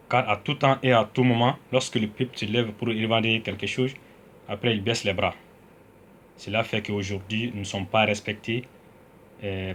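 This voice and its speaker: A man from Mali addresses his fellow citizens on politics in a mid-pitched voice. He starts slow and deliberate, then grows loud and heated, with frequent pauses and filler sounds. A faint hiss of background noise runs under the recording. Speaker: citizen activist.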